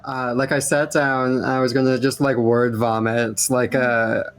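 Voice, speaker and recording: Male cast member, medium pitch, blunt, self-mocking and conversational, faint music underneath. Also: level tone, measured pace, smooth. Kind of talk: casual group Q&A chat.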